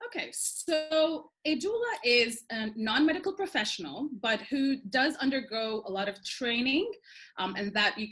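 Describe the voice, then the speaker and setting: A woman's voice: on the high side, animated, moderate pace. A woman in her thirties, originally from Sudan and raised in Dubai, speaking as a doula guest on a video-call interview, explaining at length with many ums. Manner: warm, explanatory, frequent ums.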